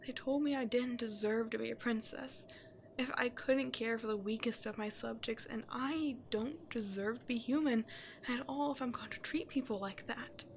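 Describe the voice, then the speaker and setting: A woman acting as a cursed princess turned horned beast, full of shame, in an audio roleplay. The voice sits fairly high and cracks with creak. It confesses haltingly, often sinking into hushed near-whispers, over a faintly noisy recording. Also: close to an everyday voice. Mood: bitter, regretful. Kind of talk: dramatic ASMR roleplay monologue to the listener.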